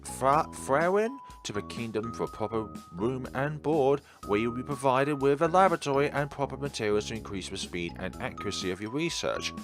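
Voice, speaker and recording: A man's voice: mid-range voice, animated, brisk, hardly any pauses, over music. A man hosting a gaming video, giving rambling commentary and reading game text aloud. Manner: rambling, musing asides.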